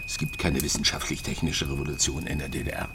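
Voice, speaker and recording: Bitter east german tech expert, really low voice, preachy and swinging, noise behind, radio play. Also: male voice, little pitch movement, fast talker. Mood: bitter, impassioned. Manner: emphatic, lecturing. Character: disillusioned, impassioned East German technology expert. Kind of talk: radio crime drama acting.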